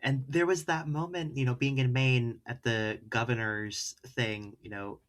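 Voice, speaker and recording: A man's voice: medium pitch, fairly even intonation, unhurried, a bit bright. Wry man chatting casually. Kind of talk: casual political discussion.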